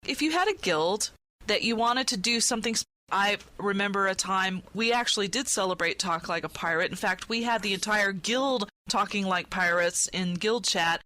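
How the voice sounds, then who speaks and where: A woman's voice: mid-range voice, normal speed, pushed and bright, dry recording. A woman hosting a podcast, chatting casually. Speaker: podcast host. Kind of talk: casual chat.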